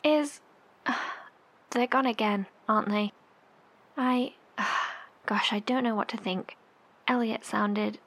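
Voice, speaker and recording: A woman's voice: mid-range voice, a bit bright, exaggerated voice. An actress playing an anxious woman survivor broadcasting in a horror audio drama, hesitant and sighing. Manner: hesitant, sighing, breathy delivery. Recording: faint background noise.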